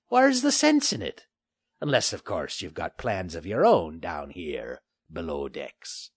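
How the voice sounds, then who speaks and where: A man's voice: wildly swooping pitch, unhurried, husky, no echo, clean studio sound, heavily acted. A man voicing a bulky, scheming male pirate with a growling, sneering pirate dialect, in a storybook reading. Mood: wheedling. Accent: old-style pirate dialect. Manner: jumps between high mocking lilts and low growls.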